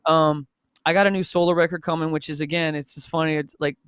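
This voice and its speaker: Earnest Toronto producer in his forties, smooth and fairly high, dry close sound. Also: fairly even intonation, fast, a bit bright, no echo. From Toronto, Canada. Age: 40s. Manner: earnest, reflective, restarts often. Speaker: music producer guest. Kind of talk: casual podcast chat.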